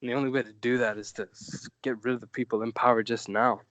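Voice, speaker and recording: A man speaking as a podcast guest in casual chat, blunt and quick to swear. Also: medium pitch, steady tone, a bit bright, no room sound.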